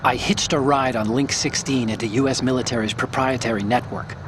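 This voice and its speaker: A man voicing an eager hacker, mid pitch, chatty, explanatory, noisy game audio. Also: steady tone, fairly quick, natural voice. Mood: explaining. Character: chatty male tech support hacker.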